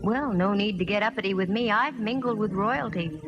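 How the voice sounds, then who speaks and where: A woman's voice: mid-range voice, background noise, close to an everyday voice. An American actress playing a brassy, wisecracking female private detective in a 1940s radio drama, sassy and wry. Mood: mocking. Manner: sassy, wry, quick with quips. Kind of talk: 1940s radio acting, first-person narration and dialogue. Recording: muffled old radio recording, reverberant, faint music.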